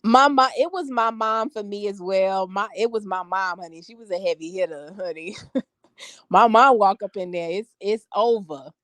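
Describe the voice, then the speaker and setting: A woman's voice: mid-range voice, moderate pace, a bit bright, no echo. A woman, telling a story to live viewers in a strong, confident voice, emphatic and sassy, repeating phrases for effect. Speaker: vlogger talking to live viewers. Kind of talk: casual storytelling chat.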